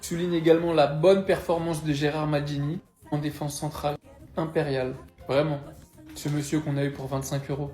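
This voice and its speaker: A man with a fairly high voice talks football with a teasing, cocky swagger. His voice rings around an echoey room, and soft music plays underneath him. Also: level tone, normal speed. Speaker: football YouTuber, Barça supporter. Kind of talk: casual video commentary.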